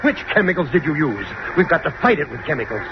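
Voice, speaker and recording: A man's voice: a little high, big pitch swings, fast talker. A man acting a frantic figure raising the alarm in an old-time radio melodrama, urgent and commanding. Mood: frantic urgency. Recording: muffled, very noisy old radio.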